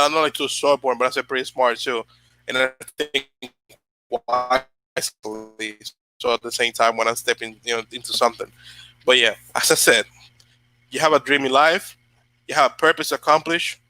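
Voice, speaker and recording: A man's voice: medium pitch, sharp tone, no room sound, low-quality recording. A young man from the Dominican Republic hosting a casual motivational livestream, rambling with many fillers and restarts while he answers viewers. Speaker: channel host.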